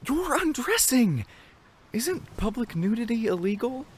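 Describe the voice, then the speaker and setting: A man's voice: extremely high pitch, very animated, measured pace, a little hiss or hum, full character voice. An actor playing an awkward, literal-minded otherworldly young man, stiff and over-formal, in a sci-fi romance drama. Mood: startled, fascinated.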